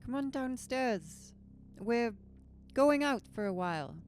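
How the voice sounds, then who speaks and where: A woman's voice: on the high side, animated, slow, a little hiss or hum. An actress playing a worried, confused wife and mother in a horror audio drama, questioning and uneasy.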